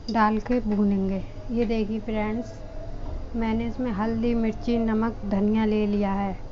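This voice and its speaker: Woman explaining a home recipe, flat tone. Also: on the high side, dull audio, a little hiss or hum. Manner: addresses viewers as friends, calm explaining. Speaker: home cooking channel host. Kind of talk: step-by-step cooking instructions. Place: home kitchen.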